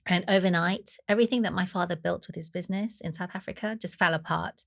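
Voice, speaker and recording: A woman, her voice fairly low. She tells her story in a chatty way, full of "you know" and "um". The sound is close and dry. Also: fast talker, gravelly, a bit bright, no echo. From Taiwan. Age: 40s. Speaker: chef and guest. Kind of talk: conversational storytelling.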